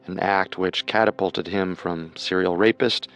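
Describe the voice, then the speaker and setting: A man co-hosting and narrating a scripted true-crime show in a measured, dramatic storytelling manner. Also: sits low, fairly even intonation, fairly bright tone. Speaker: podcast co-host and narrator.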